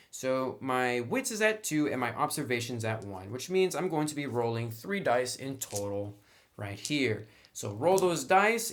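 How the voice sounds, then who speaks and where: Casual man explaining tabletop game rules. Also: medium pitch. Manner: conversational, wry asides.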